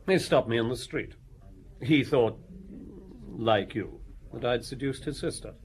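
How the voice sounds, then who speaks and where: An actor playing an idle, snobbish playboy in a radio drama, with an offhand, clipped, sardonic way of talking. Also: male voice, mid-range voice, unhurried, a little hiss or hum, close to an everyday voice, poor audio. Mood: cool, sardonic.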